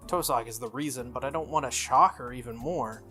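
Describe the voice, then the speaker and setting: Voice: mid-range voice, a little fast, sharp tone, lightly acted. A game streamer voicing an earnest, flustered teenage boy from a visual novel, with a hesitant delivery. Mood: earnest, uneasy. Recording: music under the voice.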